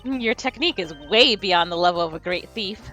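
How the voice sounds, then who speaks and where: A woman's voice: medium pitch, expressive, brisk, pushed and bright, soft background music, voice pushed down. A woman voicing a cheeky, playful young female thief with a teasing delivery.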